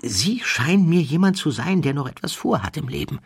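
A man's voice: a little high, sing-song, a little fast, vocal fry, husky, pitched above the performer's own voice, full character voice. A man voicing an eccentric, down-at-heel self-styled baron in an audiobook, theatrical, persuasive and grandly self-assured. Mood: wheedling.

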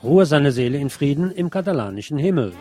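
A man's voice: a little high, expressive, a little fast, music in the background. A man presenting scripted news as a commentator, with a sardonic, ironic manner. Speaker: presenter of a local news programme.

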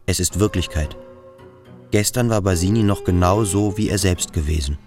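An actor playing a brooding narrator who voices a troubled youth's thoughts, in introspective radio-play style. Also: male voice, low voice, moderate pace, a little mellow. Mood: brooding, unsettled. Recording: clear music under the voice. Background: music.

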